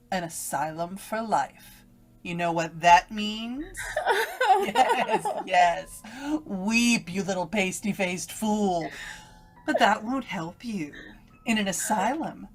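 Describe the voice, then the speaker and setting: Evil female voice in the head,, slow delivery, taunting, domineering, old-time radio horror. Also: medium pitch. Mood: mocking, cruel. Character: evil woman's voice inside a young woman's head.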